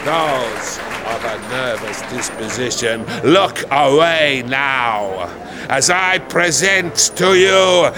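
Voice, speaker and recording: A man's voice: expressive, takes it slowly, noisy background. An actor playing a boastful male freak-show ringmaster who barks out his acts in a grand, theatrical manner. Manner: booming, showy barker. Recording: loud crowd and music behind.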